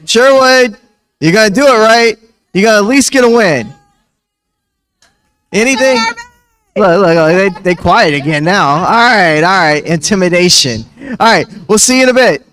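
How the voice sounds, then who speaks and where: A man's voice: high voice, animated, moderate pace, pauses often, clear, resonant tone. A man hosting a live esports event, chatty and teasing the teams. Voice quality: soft voice. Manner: chatty, teasing, hype-building.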